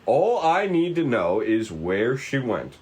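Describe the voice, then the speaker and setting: A man's voice: mid-range voice, big pitch swings, darkish tone, slight background noise. A man playing a polite, composed FBI agent who speaks formally, in a script table read. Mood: calm, stern. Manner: courteous, measured, formal.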